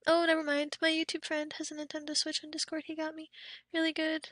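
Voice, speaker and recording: High, bright young woman chatting on stream. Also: completely flat delivery, quick pace, dry recording, clean studio sound. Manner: chatty, reads out chat, trails into soft whispery asides. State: losing her voice, throat a bit off. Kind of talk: casual chat with live stream viewers.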